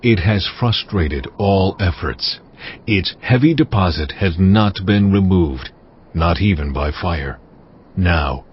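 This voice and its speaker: Wrathful almighty Lord, slow solemn oration, commanding, measured, slightly muffled audio Bible drama. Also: male voice, deep voice, a little hiss or hum. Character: God, stern wrathful sovereign Lord pronouncing judgement. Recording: dull, muffled audio with music. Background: cinematic music.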